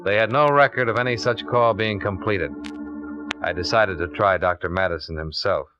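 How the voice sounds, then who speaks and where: A man's voice: on the low side, normal speed, lightly acted. A man playing a cool, businesslike insurance investigator in a 1950s radio detective drama, speaking in a clipped, matter-of-fact way. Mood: dry narration. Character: cool, businesslike male insurance investigator narrating his case. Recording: muffled old radio recording, music.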